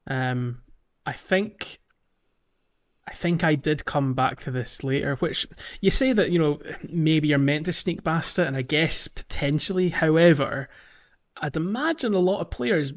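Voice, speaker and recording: A man's voice: a little high, unhurried. A man hosting a casual podcast chat, chatty and laughing often between fillers. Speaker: podcast host. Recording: dull lo-fi podcast audio.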